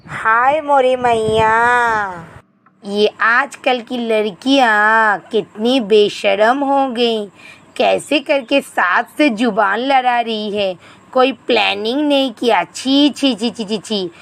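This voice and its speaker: A woman acts out an old-fashioned Indian mother-in-law for an animated moral tale. Her voice sits fairly high and moves at a slow, drawn-out pace. She nags and scolds theatrically, demanding to be obeyed. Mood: scolding, demanding. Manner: scolding, dramatic exclamations. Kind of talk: scripted animated moral story dialogue.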